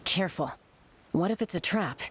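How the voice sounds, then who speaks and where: A woman's voice: lowish voice, animated, normal speed, slight background noise, lightly acted. A woman playing a guarded, watchful adventuring companion in a video game, terse and cautioning. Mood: cautious. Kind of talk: video game character acting.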